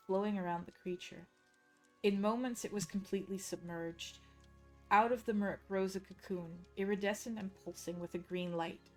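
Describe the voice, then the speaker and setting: A Dutch woman, a native Dutch speaker, reading game lore aloud as a hushed, measured, suspenseful story. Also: medium pitch, rough recording. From Netherlands.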